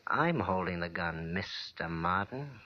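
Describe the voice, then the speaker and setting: An actor playing a smug, menacing enforcer in a 1940s radio crime drama, taunting with mock friendliness. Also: male voice, sits low, expressive, a little slow. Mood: smug menace. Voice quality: nasty. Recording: dull old radio recording.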